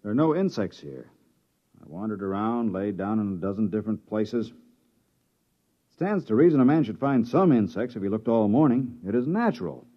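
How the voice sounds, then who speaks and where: Excitable planetary biologist, puzzled and wondering, big pitch swings, muffled old-radio sci-fi sound. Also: male voice. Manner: exclamatory, wondering, halting in amazement. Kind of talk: 1950s sci-fi radio drama acting.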